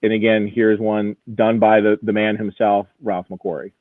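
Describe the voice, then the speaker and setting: A man presenting auction lots in an informal live preview over a video call, enthusiastic and detailed, often pausing to find his phrasing. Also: on the low side, level tone, dry recording, narrow, tinny audio.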